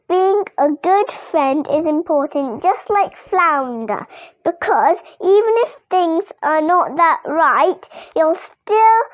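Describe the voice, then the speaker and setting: A young girl reads a children's story aloud with a very high voice and hugely lively intonation. She takes her time over each phrase like a storytime host. The recording is a little dull. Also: no echo. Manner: expressive, deliberate storytelling with acted dialogue.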